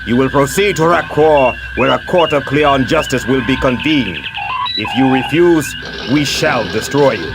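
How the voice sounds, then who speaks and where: Villainous alien fleet commander, sci-fi game: rasping growl, imperious, formal, unyielding. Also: male voice, mid-range voice, expressive, unhurried, rough recording. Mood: threatening. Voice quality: gravelly. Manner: stern, declamatory, formal. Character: menacing alien warrior admiral, imperious and unyielding. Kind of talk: scripted science fiction game acting. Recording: loud music under game dialogue.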